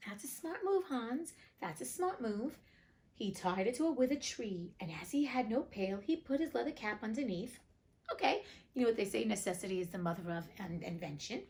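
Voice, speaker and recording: A woman's voice: fairly high pitch, animated, moderate pace, a little mellow. A woman reading a storybook aloud as host, breaking off for chatty, informal, joking commentary. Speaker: reader and host of a classics read-aloud show.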